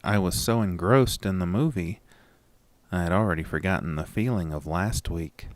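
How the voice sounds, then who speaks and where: A man reading a scripted first-person horror story in a dramatic, deliberate storytelling manner. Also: deep voice, dry recording. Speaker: narrator and lead of a horror audio story.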